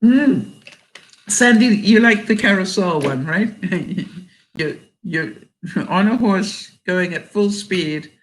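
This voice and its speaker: An older Canadian woman reads jokes aloud in a relaxed vlog. Her voice is hoarse and scratchy, and she takes her time. She often breaks into laughter at her own punchlines. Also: medium pitch, slow. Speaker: vlogger.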